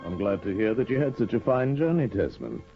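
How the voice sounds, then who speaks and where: A man playing a smooth, sly middle-aged judge in a 1950s radio drama, suave and measured. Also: fairly low pitch, sing-song, soft background music. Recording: dull old radio broadcast.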